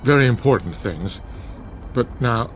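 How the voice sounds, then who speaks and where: An actor playing a troubled, tender older father in a radio drama, speaking gently and hesitantly. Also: medium pitch, animated, measured pace, slight background noise, natural voice.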